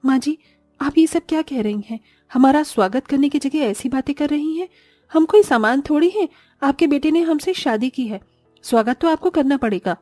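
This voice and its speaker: A woman's voice: high-pitched, animated, normal speed, darkish tone, no room sound, soft background music. A woman voicing a young, poor daughter-in-law in a cartoon, earnest and plaintive but standing up for herself. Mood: hurt protest. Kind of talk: Hindi moral-story cartoon voice acting.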